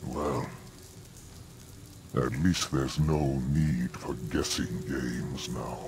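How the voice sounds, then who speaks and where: Frail, gravelly old scientist, very deep. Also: male voice, fairly even intonation, noisy background, full character voice. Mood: bitter, reproachful. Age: older. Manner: formal, measured. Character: frail, bitter old scientist leader, reproachful. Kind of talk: dramatic video game dialogue.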